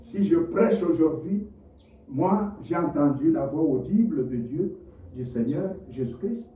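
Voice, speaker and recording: A man preaching a sermon, impassioned, dropping to low murmurs and rising to shouted rhetorical questions. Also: animated, a little slow, slight background noise. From DR Congo. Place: church hall. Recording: echoey, distant, dull lo-fi church recording.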